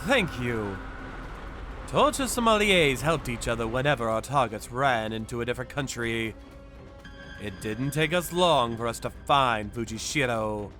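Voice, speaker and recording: Man acting grim, slow-spoken manga avenger narrator. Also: medium pitch, expressive, over music, close to an everyday voice. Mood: resolute. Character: stern, brooding male avenger narrating his hunt. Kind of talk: dramatic scripted first-person narration.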